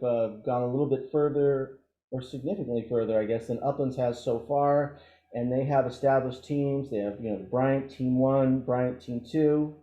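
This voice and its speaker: A man giving an informal training presentation as an instructor, explaining things haltingly and thinking aloud through examples. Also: mid-range voice, dark tone. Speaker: emergency radio trainer. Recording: dull, roomy, echoey audio.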